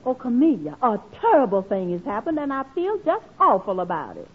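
A woman's voice: on the high side, wildly swooping pitch, brisk, a little hiss or hum. An actress playing a genteel, fussy middle-aged mother with proper diction in 1940s radio comedy. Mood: fretful. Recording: muffled old radio broadcast recording.

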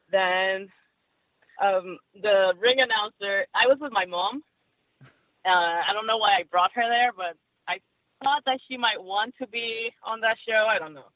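Brief: woman wrestler, chatty and animated, dry tinny call audio. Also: medium pitch, dry recording. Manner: laughing, animated storyteller. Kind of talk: casual interview storytelling. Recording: tinny phone line.

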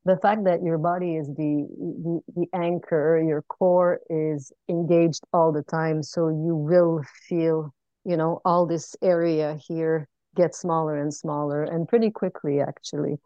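A woman's voice: sits low, steady tone, unhurried, warm tone, no room sound. A middle-aged woman answering from her own experience in a reassuring, conversational way. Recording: dry, dull lo-fi sound.

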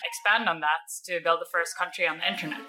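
A woman's voice: fairly low pitch, steady tone, quick pace, poor audio. A woman from Norway, a startup founder, talking casually as a podcast guest with many fillers and restarts. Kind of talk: casual interview talk.

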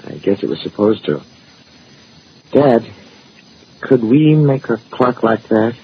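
A smooth sly young fella in an old radio play, dull hissy sound. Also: male voice, lowish voice, big pitch swings, slow pace, heavily acted. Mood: sly, casual. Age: young adult. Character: clockmaker's grown son, smooth, slyly scheming young man. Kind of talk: 1940s radio drama acting.

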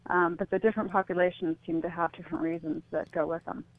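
A woman's voice: on the low side, little pitch movement, fast, dry recording. A woman speaking as a radio interview guest in a tentative, reflective, conversational way. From United States. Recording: thin, phone-like line on radio.

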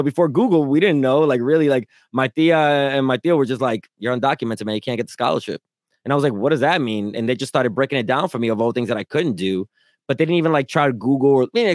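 Fast-talking, laughing man host. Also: fairly high pitch, no room sound, studio quality. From grew up in New York City. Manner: laughs often, animated and joking. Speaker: podcast host. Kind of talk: casual conversational interview.

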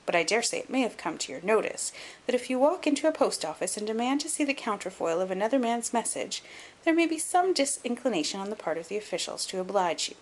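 A woman voicing a shrewd, composed gentleman detective in an audiobook, measured, articulate and dryly superior. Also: on the high side, big pitch swings, a little hiss or hum. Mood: dryly amused. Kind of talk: audiobook character acting.

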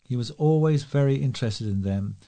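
A man's voice: medium pitch, a little fast, mellow. A man volunteer reading a local news feature aloud. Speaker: volunteer newspaper reader.

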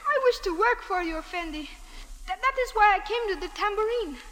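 A woman's voice: very high voice, expressive, a little fast, fairly bright tone. A woman playing a frightened young beggar boy, pleading and deferential, in 1940s radio drama. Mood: earnest pleading. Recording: old radio recording with long reverb.

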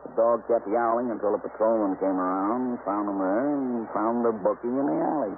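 A beleaguered American homicide cop played by a male actor grumbles in a lowish voice on an old radio show. He is gruff and defensive, and the recording is thin and narrow with faint noise. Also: normal speed, close to an everyday voice. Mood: grudging report. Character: beleaguered, grumbling male New York homicide police lieutenant. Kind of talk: 1950s radio drama acting.

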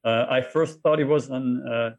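Man, French accent, middle-aged, podcast guest, repeats words, halting delivery. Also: medium pitch, steady tone, a little mellow. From France. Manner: halting, repeats words while telling his story. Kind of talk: interview conversation.

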